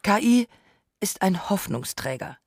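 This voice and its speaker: A narrator with delivering scripted radio feature text in a measured, articulate way. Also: medium pitch, big pitch swings, no room sound, clean studio sound.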